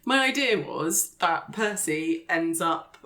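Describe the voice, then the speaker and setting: A lively player chatting through a tabletop roleplaying session with a middle-pitched voice. The voice drifts in and out of character, with quick, eager reactions. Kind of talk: tabletop game play, in and out of character.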